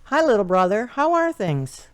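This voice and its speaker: A woman playing a supportive, devout older sister, expressive and encouraging, in an audio drama phone chat. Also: mid-range voice, normal speed, smooth, natural voice. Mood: pleased. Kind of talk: hobby audio drama acting.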